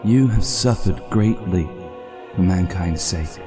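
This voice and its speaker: A man voicing a sorrowful, glorious winged angel, fatherly and gentle, speaking solemnly in a dramatic reading. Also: sits low, over music. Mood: compassionate. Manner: solemn, slow, tender, grave. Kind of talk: dramatic audiobook-style character acting.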